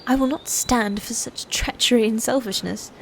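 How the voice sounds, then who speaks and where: A woman's voice: on the high side, gravelly, slight background noise, low-quality recording. A woman playing a proud, playfully cruel female knight in a scripted medieval fantasy audio roleplay, with theatrical, formal old-world phrasing. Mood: indignant. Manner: theatrical, archaic formal phrasing, slow and deliberate.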